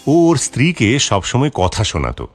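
A man's voice: medium pitch, wildly swooping pitch, normal speed. A man narrating a scripted moral story for children. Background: background music.

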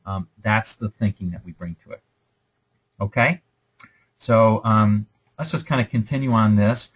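A man's voice: on the low side, unhurried, husky, low-quality recording. A man lecturing over slides in a conversational, explanatory way, often checking in with "okay?".

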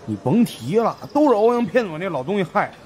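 A man's voice: sits high, big pitch swings, fast talker, darkish tone. A man voicing a fat, greedy, superstitious investigator who chats in a hesitant, grumbling, colloquial way in an audiobook. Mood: explaining. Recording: faint background noise.

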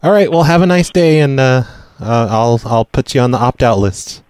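A man speaks in a deadpan, casual way, as a prank caller on the phone. His delivery rambles, with hesitant repeats and a mid-range pitch. The sound is dry, with no room around it. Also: moderate pace, clear, resonant tone, dry recording. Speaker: prank caller, show host.

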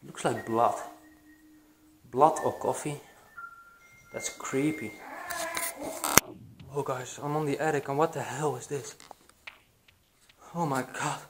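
A young man with a Belgian accent narrating his exploration of an abandoned building to his vlog audience, excited and hushed, with many fillers, recorded on an action camera. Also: medium pitch, slow pace, pauses often, background noise. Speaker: urban explorer vlogger. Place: abandoned building, echoey halls and attic. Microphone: action camera, handheld or body-worn.

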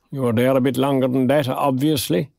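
An actor playing a dry, proud but kindly older retired man in an audio drama, with an Irish accent and a gravelly voice. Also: mid-range voice, fairly even intonation, moderate pace, a little mellow, no echo, close to an everyday voice. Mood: dry, amused. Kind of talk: naturalistic audio drama dialogue.

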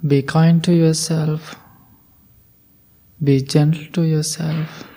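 A man, a Buddhist monk, gently guiding a meditation group with calm instructions, long pauses and non-native English grammar. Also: on the high side. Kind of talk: guided meditation.

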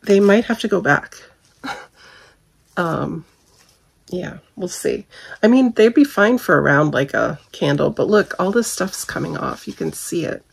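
Husky, gravelly woman losing her voice. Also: on the low side, expressive, unhurried. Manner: chatty, candid, frequent ums and little laughs. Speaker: haul vlogger. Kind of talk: casual product review chat. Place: bedroom at home.

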